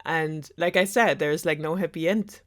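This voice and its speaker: Female storyteller, slightly low voice. Chatty, sarcastic, loose and rambling delivery, prone to giggles. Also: moderate pace. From Germany. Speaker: storyteller host of a web series. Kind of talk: casual sarcastic fairy tale retelling.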